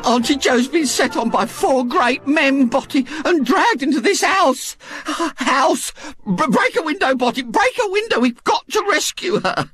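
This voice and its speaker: A man's voice: very high voice, lively intonation, a little slow, fairly bright tone, soft background music, pitched above the performer's own voice, full character voice. A man voicing a hysterical, tearful woman who shrieks and quavers. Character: hysterical working-class woman, loud and tearful.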